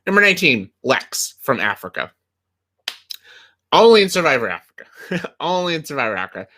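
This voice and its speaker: A young man with a bright, forward voice talks through a casual countdown list. He is slightly hoarse, clears his throat now and then, and rambles with plenty of "like" and "uh" and false starts. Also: fairly high pitch, big pitch swings, brisk. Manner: rambling with many fillers and restarts. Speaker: host of a list video.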